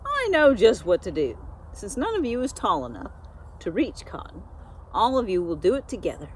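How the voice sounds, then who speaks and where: A woman's voice: mid-range voice, wildly swooping pitch, moderate pace, a little hiss or hum. A woman voicing a proud, bossy know-it-all female goose in a storybook, emphatic and self-assured. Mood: smug.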